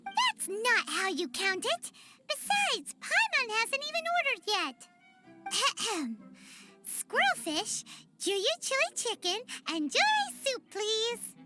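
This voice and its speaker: A tiny floating fairy girl from a video game, cheeky and food-loving. Her voice is extremely high and squeaky with lively swings in pitch. Loud music plays behind her. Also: slow pace, exaggerated voice. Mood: eager. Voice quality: squeaky, childlike. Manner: refers to herself in third person, perky.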